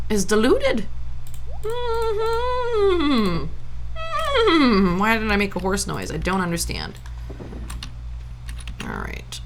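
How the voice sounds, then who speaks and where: A woman's voice: medium pitch, a bit bright, a little hiss or hum. A woman from Brazil streaming a farming game, chatting casually and playfully, humming nonsense sound effects and tossing out jokey mock-old-fashioned lines. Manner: jokey mock-archaic asides.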